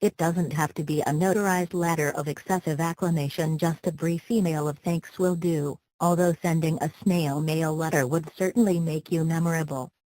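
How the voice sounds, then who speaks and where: Female narrator, low register, dry close sound, for scripted how-to tips with a wry, light touch. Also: dark tone, no echo, poor audio. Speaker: narrator of a career advice video.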